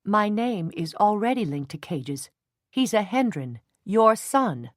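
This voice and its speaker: A woman voicing a loyal, fiery young woman who speaks earnestly and turns sharp and indignant. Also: fairly low pitch, lively intonation, normal speed, no echo, studio quality, natural voice. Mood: angry.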